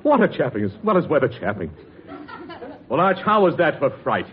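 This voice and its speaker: A man's voice: fairly high pitch, sing-song, brisk. A man playing an eager ham announcer who acts out ad copy theatrically in an old radio comedy. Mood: hammy, eager. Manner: theatrical, exaggerated. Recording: muffled old radio, laughing audience.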